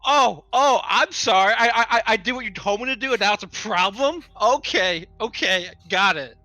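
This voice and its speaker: A man's voice: high-pitched, lively intonation, normal speed, pushed and bright, no room sound. A sarcastic man arguing in character during a tabletop roleplaying game, repeating words for emphasis. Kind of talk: argumentative in-character banter.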